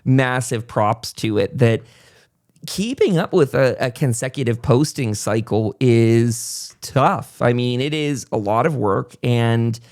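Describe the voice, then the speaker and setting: A man's voice: mid-range voice, slow, a little mellow. A man hosting a casual interview podcast, talking in a rambling, reflective way as he thinks aloud. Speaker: podcast host and content creator.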